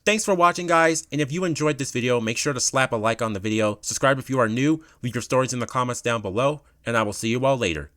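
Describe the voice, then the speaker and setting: A man's voice: medium pitch, quick pace, few pauses, fairly bright tone, dry recording, clean studio sound. A man telling a personal story in casual storytime commentary, with informal, slangy, swear-heavy phrasing.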